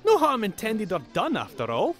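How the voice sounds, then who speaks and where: A man's voice: sits high, wildly swooping pitch, moderate pace, a bit bright, faint music behind, exaggerated voice. An actor playing a placating Scottish pub landlord in an audio drama, pleading his case. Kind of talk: full-cast audio drama acting.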